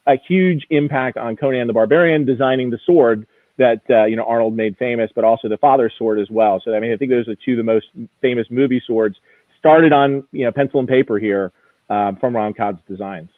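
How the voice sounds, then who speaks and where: A man's voice: medium pitch, brisk, dry recording. A man talking casually and explaining things in detail as an interview guest, with enthusiasm for his subject. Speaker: auction house executive guest. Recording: tinny, narrow phone-like sound.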